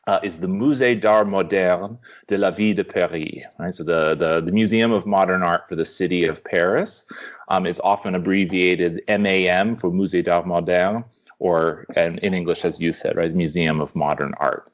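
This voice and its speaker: Deep-voiced male guest, halting and thoughtful, slightly muffled recording. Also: fairly even intonation. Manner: halting, many fillers and restarts. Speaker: podcast guest, art history enthusiast. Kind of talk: casual explaining.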